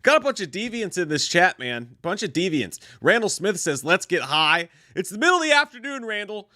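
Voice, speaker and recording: A slightly hoarse man co-hosting a livestream, reading out viewer comments and riffing playfully in casual, joking chat. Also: sits high, very animated, brisk, pushed and bright, no echo, clean studio sound. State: hoarse after drinking.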